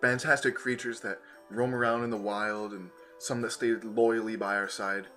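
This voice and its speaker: A man with a cold, slightly raspy and hoarse, hosting an educational channel and explaining science in a casual, enthusiastic way. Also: fairly low pitch, level tone, normal speed, sharp tone, soft background music. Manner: enthusiastic, conversational, with filler "you know".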